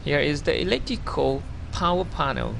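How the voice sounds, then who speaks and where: Vietnamese-accented man, 30s, gravelly voice, slightly high, halting self-corrections, faint background hum. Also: sing-song. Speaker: job applicant. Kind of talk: video CV voice-over over slides.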